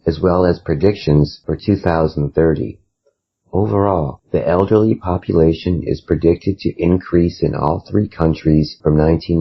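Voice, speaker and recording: Male essay reader, American accent, low husky voice, scripted lesson delivery, slightly dull lo-fi recording. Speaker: English test-prep reader. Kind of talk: scripted read-aloud of sample essay.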